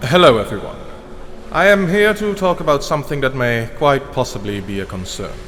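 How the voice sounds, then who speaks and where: A man's voice: medium pitch, expressive, brisk, a bit bright, lightly acted. A man acts out a scheming vampire researcher in a fantasy drama. His voice is mid-range, formal and coldly clinical. It carries long reverb over noticeable background noise. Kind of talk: scripted fantasy voice acting.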